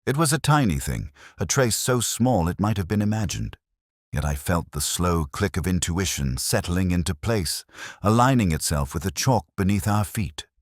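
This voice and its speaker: A man playing a brooding, introspective police detective, narrating a literary mystery in a measured, solemn, reflective manner. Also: low-pitched, expressive, no room sound, studio quality.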